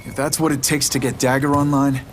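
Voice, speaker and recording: An actor playing a tough, determined young ranger in a video game, terse and blunt, with an American accent. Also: male voice, medium pitch, little pitch movement, a little fast, a little hiss or hum, natural voice. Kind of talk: video game cutscene acting.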